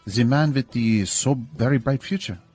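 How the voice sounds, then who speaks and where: A man's voice: medium pitch, normal speed, darkish tone, faint music behind, pitched above the performer's own voice, natural voice. A man voicing a friendly young German immigrant woman who speaks slightly broken English with a German accent in a story. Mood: startled. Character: young German immigrant woman, friendly, startled, church-going bank teller.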